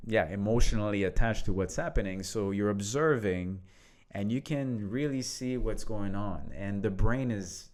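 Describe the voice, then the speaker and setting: A man with a French accent talking in a relaxed, reflective way about mindset and leadership, laughing now and then. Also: on the low side. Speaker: co-host, coach. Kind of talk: casual conversation.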